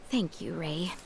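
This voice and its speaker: A woman's voice: fairly low pitch, vocal fry, slight background noise, full character voice, rough recording. A woman playing a composed, earnest young noblewoman in a fantasy video game, speaking formally. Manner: formal, refined wording. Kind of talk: English video game voice acting. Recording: loud music behind.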